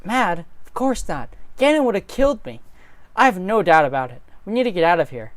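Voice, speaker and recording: Man acting young farm boy hero, startled, earnest, exclaiming, animated pitch, storybook reading. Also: fairly quick, dry recording. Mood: urgent. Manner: excitable, exclaiming.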